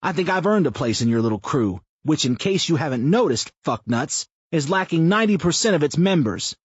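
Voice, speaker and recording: A loud, brash joker who swears freely and needles everyone, as an audiobook narrator puts him on. He sits higher than the narrator's own voice and pushes hard. The sound is husky, dull and dry. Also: very animated, brisk, no echo. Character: crude loud brash man, foul-mouthed joker.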